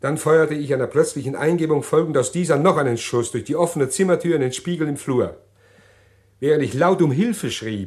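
An actor playing a middle-aged man who calmly confesses a murder plot in an old radio play, measured and hesitant. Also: mid-range voice, close to an everyday voice. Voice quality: measured, deliberate, hesitant with pauses. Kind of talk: 1950s radio drama acting.

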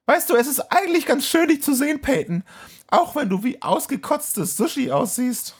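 A man's voice: very high voice, big pitch swings, normal speed, pitched above the performer's own voice, heavily acted. A man voicing a troubled, sarcastic young woman in a comic audio play, with a dramatic, incredulous manner. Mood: sarcastic, questioning.

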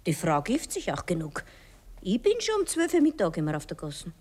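An actress playing a sleepy, carefree young streetwalker with a Viennese accent, offhand and pert in a 1960s radio play. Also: female voice, fairly low pitch, huge pitch swings, a little fast, a little mellow, slightly rough, full character voice. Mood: matter-of-fact, chatty. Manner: drowsy, offhand, matter-of-fact.

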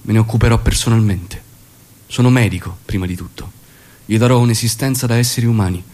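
An actor playing a resolute revolutionary doctor and loving husband in theatrical radio drama dialogue. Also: male voice, on the low side, fast, a little mellow, lightly acted. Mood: determined.

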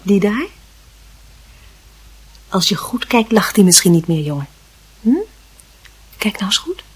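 Patient, soothing mother in radio drama; mid-pitched, breathy voice. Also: animated, a little slow. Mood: soothing, questioning. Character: gentle, patient mother soothing her small son.

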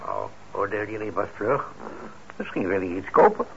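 Unflappable old shopkeeper, creaky, lively pitch. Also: male voice, moderate pace. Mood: gentle. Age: older. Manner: patient, hesitant, soft-hearted. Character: mild, unflappable old music shopkeeper and father. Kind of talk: Dutch radio play acting. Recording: dull, noisy old radio recording.

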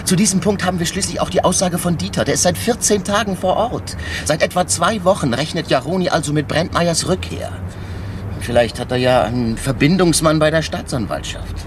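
A man's voice: fairly high pitch, a little fast, background noise, natural voice. A male actor playing a shrewd, persistent police inspector in a radio crime drama, insinuating and mock-deferential as he argues step by step. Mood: needling. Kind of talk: naturalistic German radio crime drama acting.

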